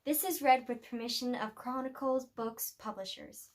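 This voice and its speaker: High-voiced woman reading a children's storybook. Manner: expressive storytelling with deliberate pauses. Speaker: storybook reader. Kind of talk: children's picture-book read-aloud.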